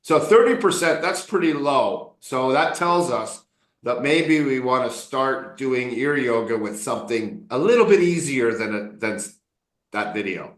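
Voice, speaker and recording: A man runs a casual English class over a video call, relaxed and encouraging, with an easy joking way with his students. His voice sits at an ordinary pitch for a man. The sound has a noticeable roomy echo. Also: measured pace. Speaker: English coach. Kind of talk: casual online English lesson.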